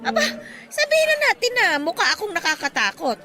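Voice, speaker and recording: A woman playing a jolly, teasing, doting older mother in a radio drama, expressive and chatty, full of exclamations. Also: very high voice, quick pace, full character voice. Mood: teasing, amused.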